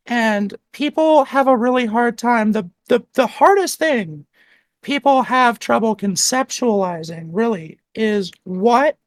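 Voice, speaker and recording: A woman coach talks about her craft in a lively, informal way, peppering her speech with "like." Her voice sits a little high, and the recording is dry and close. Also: a little slow, dry recording. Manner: animated, informal, many "like"s. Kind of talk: casual chat.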